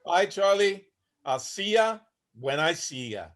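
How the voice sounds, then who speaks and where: A man's voice: sits high, expressive, unhurried, a bit bright, soft background music. A man acting a haunted, homeless older Vietnam veteran, weary and bitter, telling his story plainly in a staged play reading. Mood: resigned, friendly. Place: online video call reading.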